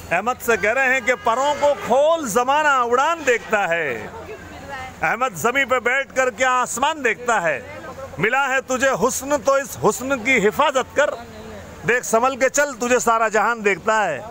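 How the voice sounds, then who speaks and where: A man's voice: very high voice, animated, measured pace, a crowd in the background. A middle-aged man recites poetic couplets to guests in a florid, courtly, declamatory way as a restaurant entertainer. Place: busy outdoor restaurant courtyard at night. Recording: noisy open-air restaurant, phone recording.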